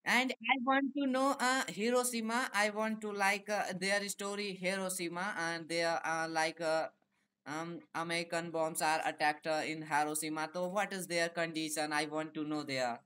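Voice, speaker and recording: A young man with an Indian accent chats casually on a phone video call, asking questions haltingly in simple, repetitive English. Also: on the high side, steady tone, bright, forceful tone, clean tone. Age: young adult. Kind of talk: casual chat with strangers.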